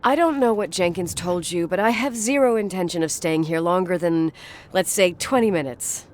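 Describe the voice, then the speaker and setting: A woman's voice: lowish voice, moderate pace, darkish tone, slight background noise, close to an everyday voice. An actress playing a sardonic, impatient young American woman in a video game, with a brusque, dry manner. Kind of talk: naturalistic video game acting.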